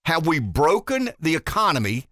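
A man's voice: a little high, normal speed, dry recording, clean studio sound. A man in his fifties hosting a talk radio show, musing aloud and asking rhetorical questions. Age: 50s. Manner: animated, rambling, rhetorical questions.

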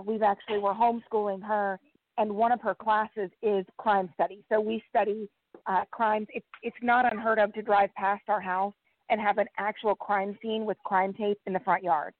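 A woman's voice: mid-range voice, no room sound. A middle-aged woman talking casually as a podcast-host guest in an interview. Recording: dry phone-like call audio.

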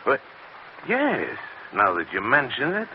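An actor playing a shaken, pleading murder suspect in an old radio melodrama, hesitant and anxious. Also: male voice, medium pitch, wildly swooping pitch, slow, exaggerated voice. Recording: muffled, noisy old radio recording.

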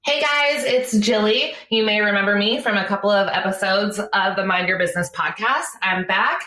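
A woman on the host's team introducing an episode in an excited, friendly way. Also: mid-range voice, normal speed, echoey room, far from the mic, over music. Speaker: team integrator. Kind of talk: upbeat podcast intro.

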